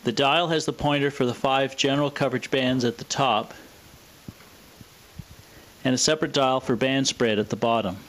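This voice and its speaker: A man presenting a scripted, explanatory product demonstration in a measured, matter-of-fact way. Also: medium pitch, steady tone, a bit bright. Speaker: presenter of a vintage radio showcase video.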